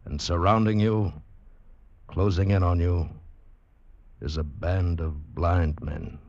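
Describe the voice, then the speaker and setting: Male thriller narrator: very low, slow, ominous, old muffled radio with music behind. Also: steady tone, darkish tone, no room sound, heavily acted. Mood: menacing. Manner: menacing, dramatic. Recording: dull 1940s radio recording with music.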